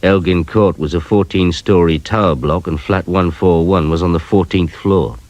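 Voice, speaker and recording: Low-voiced police inspector played by a man. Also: fairly even intonation, normal speed, a little mellow, no echo, highs cut off, lightly acted. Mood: grim, measured. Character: dour, dry-witted male police inspector narrating his own case. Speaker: first-person narrator and police inspector. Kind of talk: radio crime drama narration and dialogue.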